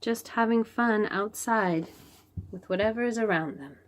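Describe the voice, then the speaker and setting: A woman reads a picture book aloud to young children. Her voice sits at a medium pitch and she goes slowly, giving key phrases deliberate, expressive weight. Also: slight background noise. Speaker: storybook reader for young children. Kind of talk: children's read-aloud storytelling.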